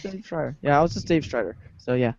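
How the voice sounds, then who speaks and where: A man's voice: fairly high pitch, animated, fast talker, creaky, no echo, dull audio. A man, an amateur cast member, casually introducing his part.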